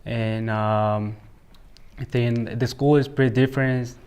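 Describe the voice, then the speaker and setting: A teenage boy from El Salvador with a Salvadoran Spanish accent, a high school student answering interview questions haltingly, filling his speech with "like" and "you know". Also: fairly even intonation, a little mellow, smooth. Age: teens. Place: school, indoors.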